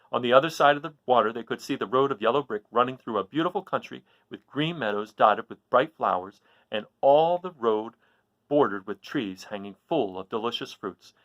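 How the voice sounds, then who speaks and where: A man reading a children's story aloud with expressive, dramatic and emphatic phrasing. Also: medium pitch, no echo, rough recording.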